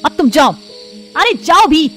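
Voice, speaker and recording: A woman's voice: high voice, big pitch swings, measured pace, fairly bright tone, faint music behind, full character voice. A woman voicing a lazy, moody, quarrelsome young wife in a cartoon story. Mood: defiant anger. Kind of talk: acted cartoon story dialogue.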